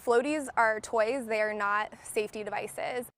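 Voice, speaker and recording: A woman's voice: on the high side, measured pace. A woman physician giving a news interview, speaking earnestly and explaining with a few restarts.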